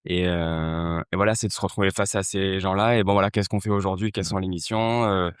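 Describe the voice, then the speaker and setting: A man's voice: sits low, fairly even intonation, a little fast, clean tone, dry recording, clean studio sound. A man in his thirties from Marseille, a festival founder, talking in a casual interview, hesitant with many fillers and restarts. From Marseille, France.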